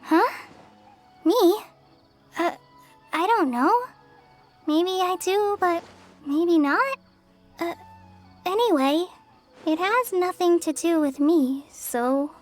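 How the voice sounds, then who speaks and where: A woman's voice: extremely high pitch, animated, slow, pauses often, faint music behind, full character voice. A woman voicing a shy, weary young female forest ranger who stammers hesitantly. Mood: flustered. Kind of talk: game voice acting.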